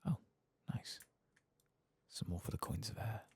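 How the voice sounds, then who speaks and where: A soft-spoken man giving relaxed, musing ASMR commentary on a game playthrough, close to the mic and at times near a whisper. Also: measured pace. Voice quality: soft, low-volume voice, sometimes dropping to a whisper. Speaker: gaming ASMR channel host. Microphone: close, dry microphone.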